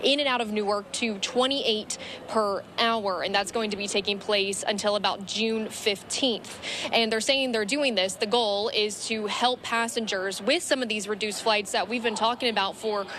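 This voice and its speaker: A woman's voice: medium pitch, fairly even intonation, moderate pace, few pauses, fairly bright tone. A woman working as a field reporter, giving a live news report from on location. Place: on location at an airport. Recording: clear background ambient noise.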